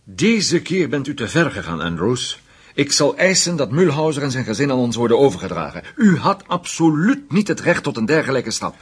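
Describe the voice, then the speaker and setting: A man's voice: medium pitch, big pitch swings, normal speed, husky. A man acting in a radio play as a hard, vengeful investigator, menacing and sardonic as he interrogates. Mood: stern, indignant. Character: hard, vengeful male investigator, survivor of Nazi camps.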